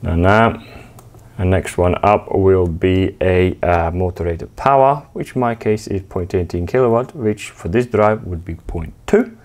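Man, lowish voice, hesitant hands-on explainer recorded in a workshop. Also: darkish tone. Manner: halting, many fillers and restarts, plain explanatory tone. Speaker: technician demonstrating a drive. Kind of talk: hands-on tutorial. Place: workshop with wooden wall panel, indoors.

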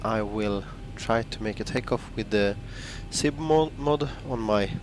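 A man with a Swedish accent talking casually and haltingly while flying a flight simulator at home. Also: fairly low pitch, slight background noise. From Sweden. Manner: halting, thinking aloud with restarts. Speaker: flight sim hobbyist. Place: home room with simulator cockpit.

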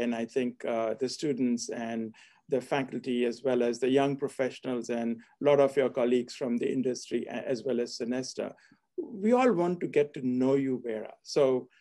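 A man's voice: mid-range voice, mellow. A middle-aged man hosting a formal online event from an office, introducing and interviewing a guest over a video call. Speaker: host, hospitality school dean. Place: book-lined office indoors. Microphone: video call, seated at computer.

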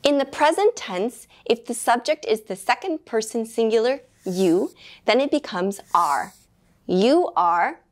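A young woman teaching beginner English grammar in a small studio, speaking slowly and enunciating clearly into a lapel mic. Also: a little high, lively intonation. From United States. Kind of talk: scripted beginner grammar lesson.

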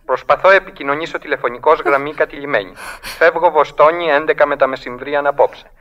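A man's voice: a little high, a bit bright, muffled recording, close to an everyday voice. An actor playing a businesslike male telegraph clerk in a radio drama, formal and mechanical in delivery.

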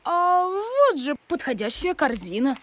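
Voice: high voice, sing-song, a little slow, fairly bright tone, slight background noise, exaggerated voice. A performer playing a sensible, independent young schoolboy in a cartoon, politely stubborn. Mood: helpful. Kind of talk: cartoon voice acting.

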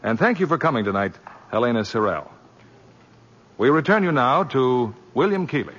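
A man's voice: medium pitch, lively intonation, moderate pace, a bit bright. A man announcing in scripted 1940s radio advertising patter. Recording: muffled 1940s radio recording.

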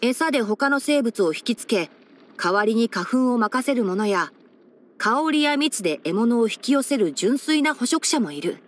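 A woman's voice: fairly high pitch, lively intonation, normal speed. A woman voicing a young male fox-eared ranger in a video game, scholarly and sensible, speaking in a composed, articulate, mildly wry way. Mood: curious, explaining. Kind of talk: scripted video game character voice-over.

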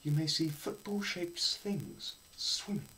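Young guy doing an over-the-top dramatic lecture, slow and forceful, goes from yelling to muttering. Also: a little high. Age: young adult. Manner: theatrical, swinging between shouts and hushed murmurs; deliberate pauses and repetition for effect. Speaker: presenter. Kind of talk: dramatised science lecture reading. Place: small bare indoor room.

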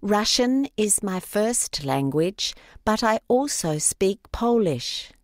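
A woman speaks a dialogue line for a language course, slowly and deliberately. Her pitch moves a lot from word to word. The recording is dry and close. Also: expressive, dry recording. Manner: clear, deliberate delivery. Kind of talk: slow scripted textbook audio.

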